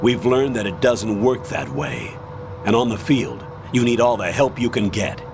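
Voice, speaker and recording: An actor playing a stern, authoritative older military colonel in a video game, commanding, measured and formal as he gives a mission briefing. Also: mid-range voice, expressive, background noise, natural voice. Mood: firm, persuasive.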